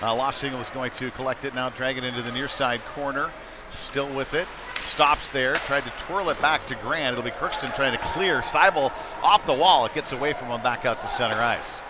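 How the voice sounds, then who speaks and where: A man's voice: mid-range voice, moderate pace. Man calling hockey over rink noise. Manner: conversational, excitable on big plays. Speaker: play-by-play commentator. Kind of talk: live youth hockey play-by-play commentary. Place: indoor ice rink, live broadcast. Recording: clear background noise of sports arena.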